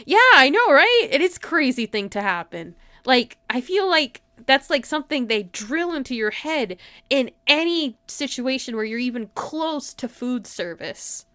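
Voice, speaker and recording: Clear, higher-voiced woman chatting on a livestream. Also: sing-song, unhurried, sharp tone, no echo. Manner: giggly, informal, frequent filler "like". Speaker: livestream host. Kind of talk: casual chat to livestream viewers.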